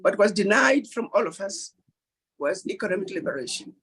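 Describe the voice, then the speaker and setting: Husky-voiced woman giving an emphatic address. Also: mid-range voice, animated, normal speed, a bit bright. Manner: emphatic, repeats key points for emphasis; acts out quoted dialogue. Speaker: speaker at a diaspora planning meeting. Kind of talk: public address.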